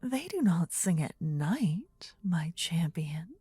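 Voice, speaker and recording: A woman playing a possessive, tender demon queen in a fantasy ASMR roleplay, with a soft breathy whisper and an intimate, regal, coaxing manner. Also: on the low side, big pitch swings, slow pace, no echo, heavily acted. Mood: possessive, soothing.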